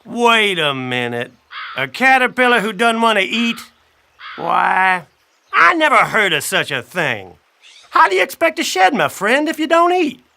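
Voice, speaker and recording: A man voicing a friendly, wry blackbird who tells a children's story in a folksy, chatty, expressive way. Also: high voice, a little slow, vocal fry, heavily acted. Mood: friendly, enthusiastic. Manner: folksy, expressive, addresses listener directly. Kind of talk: animated children's audiobook storytelling.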